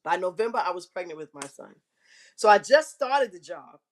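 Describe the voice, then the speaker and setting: A woman hosting a live online show, telling a candid personal story to her viewers in a big, carrying voice, frank and self-mocking, often clearing her throat. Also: fairly low pitch, lively intonation, normal speed.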